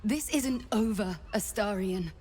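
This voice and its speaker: A woman's voice: mid-range voice, measured pace, very noisy, natural voice. An actress playing an anxious, dutiful female vampire spawn in a video game, earnest and uneasy in her delivery. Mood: bitter. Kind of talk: dramatic video game voice acting.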